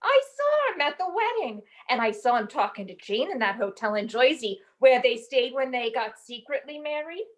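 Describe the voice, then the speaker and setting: A woman's voice: high voice, big pitch swings, normal speed, hardly any pauses. A woman playing a melodramatic, lovesick young chorus girl with slangy, tearful theatrics in an old-time comic radio play. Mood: gossipy.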